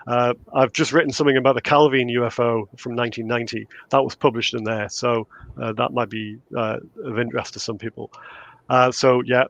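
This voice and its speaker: A man's voice: medium pitch, steady tone, fairly quick, creaky, a bit bright, highs cut off. A man in his fifties with a Geordie accent, a guest author chatting in an interview and hesitating with lots of fillers. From England. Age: 50s. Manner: hesitant, many fillers and restarts. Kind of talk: casual interview chat.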